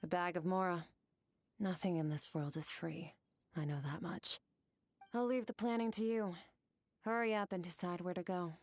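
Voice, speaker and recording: Actress doing a cool detached warrior lady in a game, calm and measured, lowish voice. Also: dry recording, close to an everyday voice. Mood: curt. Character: cool, aloof young woman warrior from the abyss. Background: game music.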